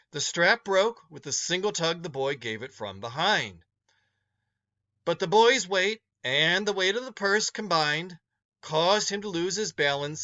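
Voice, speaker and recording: A male teacher narrates a short story for students to follow along. His voice is bright and forceful, a little high, and he takes it at a slow pace. The recording is slightly dull.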